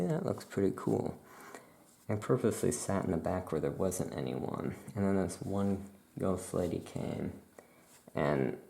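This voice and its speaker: A very creaky, soft-spoken voice plays an easygoing witch shopkeeper in an ASMR roleplay. The delivery is slow and rambling, often trailing off. It sounds recorded in a roomy, echoing space. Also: deep voice. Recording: roomy echo, faint background noise.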